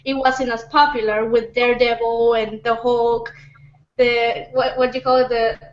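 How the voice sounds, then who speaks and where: A woman's voice: on the high side, level tone, normal speed. A woman talking as a panelist in a casual discussion, hesitant and often repeating her words. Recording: roomy, dull lo-fi podcast audio.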